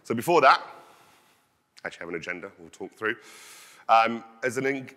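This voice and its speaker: A man in his thirties giving an energetic conference talk, projecting his voice as he walks the stage on a headset mic. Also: medium pitch, a little fast. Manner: loud, projecting voice. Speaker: conference speaker. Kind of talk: conference presentation. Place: large conference hall stage. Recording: long hall reverb.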